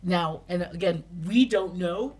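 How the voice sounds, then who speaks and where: A woman's voice: lowish voice, a little slow, a little mellow. A woman co-hosting a casual discussion, tentatively thinking aloud as she talks. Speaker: talk show co-host.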